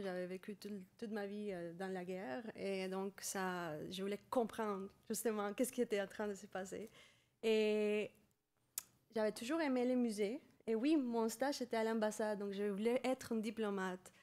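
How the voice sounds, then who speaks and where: A woman tells her story on a conference panel. She speaks thoughtfully, pausing often as she searches for words, and her voice sits at an ordinary middle pitch. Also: fairly even intonation, darkish tone. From Colombia. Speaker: doctoral student panelist. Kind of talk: personal account on a panel. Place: conference hall stage.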